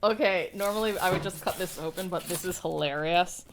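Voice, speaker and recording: A woman's voice: lowish voice, a little fast, background noise. Giggly young woman co-hosting a review. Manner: giggly, frank opinions. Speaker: co-host reviewing a collectible. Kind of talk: casual review chat. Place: indoor studio set at a table.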